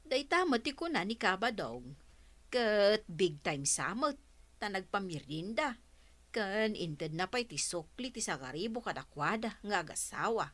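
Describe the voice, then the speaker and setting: Actress as slow-talking teasing shopkeeper with a bright, lively voice. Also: female voice, mid-range voice, no echo. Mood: bantering. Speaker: village store owner. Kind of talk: Ilocano radio drama acting.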